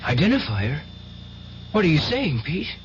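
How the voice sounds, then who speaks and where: A man's voice: a little high, very animated, normal speed. An actor playing a fervent, tormented young man with psychic powers in an old-time radio drama, earnest and intense. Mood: anxious. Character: fervent, tormented young male psychic nephew, devoutly religious. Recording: dull, hissy old radio recording.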